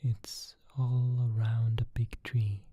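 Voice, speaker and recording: A storyteller whispering a fantasy tale slowly and softly, sometimes thinking aloud. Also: medium pitch, flat delivery, slight background noise.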